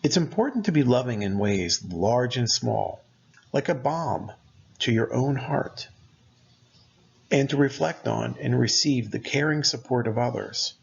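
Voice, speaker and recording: Male meditation narrator, mid-pitched and creaky, gentle deliberate delivery, slightly dull recorded sound. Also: animated. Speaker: meditation guide.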